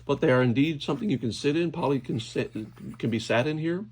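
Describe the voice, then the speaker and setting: A man's voice: on the high side, fast, a little mellow. A man hosting a casual, unscripted toy unboxing, thinking aloud hesitantly as he handles things. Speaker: toy unboxing video host. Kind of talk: casual unscripted hands-on commentary. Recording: clear background noise, clicking handling sounds.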